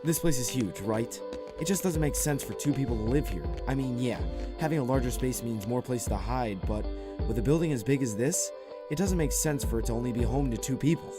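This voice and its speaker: Male actor as reasoning young squad member, medium pitch, musing, audio drama, audible music backing. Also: brisk, natural voice. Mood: speculative.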